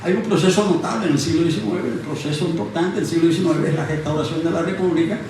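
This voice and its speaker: Man, reflective, halting explainer with repeated phrases; distant mic, heavy room echo. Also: on the high side, a little mellow. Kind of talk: explaining history in conversation. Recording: very echoey room, distant mic, faint noise.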